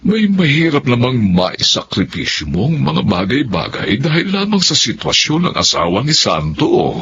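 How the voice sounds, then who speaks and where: A man's voice: medium pitch, wildly swooping pitch, normal speed, fairly bright tone. An actor with a Filipino accent playing a caring, worried older father in a radio drama, speaking with fatherly concern. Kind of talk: Tagalog radio drama acting. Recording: muffled audio with faint noise.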